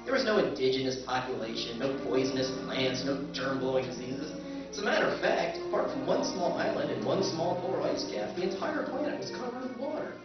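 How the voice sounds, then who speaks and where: A man's voice: medium pitch, very animated, brisk, natural voice. A man narrating and playing a wry male surveyor, telling the story with dry humor in a live radio drama recreation. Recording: echoey hall, far mic, muffled, music.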